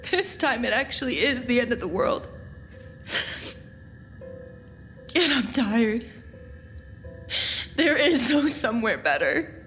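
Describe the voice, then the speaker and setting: An actress playing a bitter, despairing young woman in an audio drama, speaking in a plaintive, halting way. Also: high-pitched, a bit bright, exaggerated voice. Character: young woman, despairing, bitter, feels cheated by a dying world.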